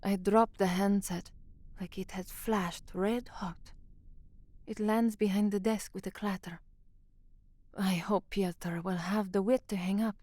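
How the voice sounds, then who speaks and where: A woman's voice: medium pitch, steady tone, a little slow, a little mellow, no room sound, lightly acted. An actress playing a young, anxious woman who works as a radio newsreader in an audio drama. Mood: tense, hushed. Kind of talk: audio drama dialogue, narration and formal newsreading.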